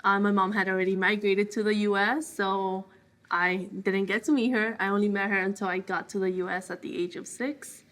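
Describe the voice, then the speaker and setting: A young woman from El Salvador, a guest on a podcast, speaks in a relaxed, plainspoken way and often leans on fillers. Her voice sits at an ordinary middle pitch. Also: a little fast. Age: 20s. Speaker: interview guest, ultramarathoner. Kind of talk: casual conversation.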